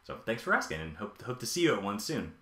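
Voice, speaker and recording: A man's voice: on the low side, sing-song, quick pace, sharp tone. A man in his thirties live coding on a stream, thinking aloud with many ums and answering chat questions. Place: home office, indoors. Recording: echoey room.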